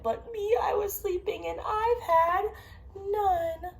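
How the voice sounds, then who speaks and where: Woman as a loud, blustering storybook bear. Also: very high-pitched, slow, vocal fry, pitched above the performer's own voice, exaggerated voice. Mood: wounded indignation. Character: big grumbling, self-pitying storybook bear. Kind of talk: children's storybook character voice.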